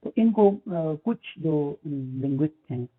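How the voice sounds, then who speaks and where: A man with a Pakistani accent, teaching phonetics in a lecture. He mixes English terms into his explanations, uses many fillers and reads English lines slowly. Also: a little high, expressive, slightly rough. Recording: tinny phone-like audio.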